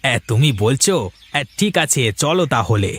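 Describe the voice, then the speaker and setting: A man's voice: medium pitch, big pitch swings, moderate pace, a bit bright, dry recording. A man voicing an anxious, eager village farmer husband in a moral-story cartoon, hesitant and repeating his agreeable words. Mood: cheerful.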